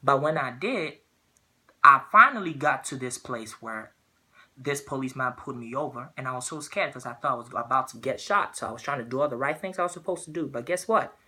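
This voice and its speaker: Young man from Ghana, earnest persuasive speaker, bright forceful tone, restarts mid-phrase. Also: medium pitch, expressive, a little fast. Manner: earnest, repeats words while searching for phrasing. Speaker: student giving a speech. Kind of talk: persuasive speech.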